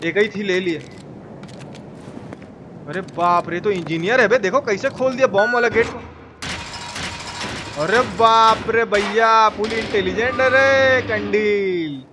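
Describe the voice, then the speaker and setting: A high-pitched man with a Bihari Hindi accent commentates live on a game. He is slangy and excitable, repeating phrases as he goes. Game noise is clearly audible behind him. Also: a little slow, pauses often. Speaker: game streamer. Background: horror game sound effects and ambience.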